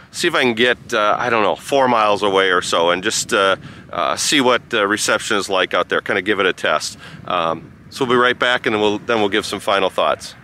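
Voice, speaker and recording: A man giving a casual vlog-style review outdoors in a halting, rambling way. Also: lowish voice, bright, forceful tone, a little hiss or hum. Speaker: product reviewer and amateur radio operator. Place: outdoors by a rural road.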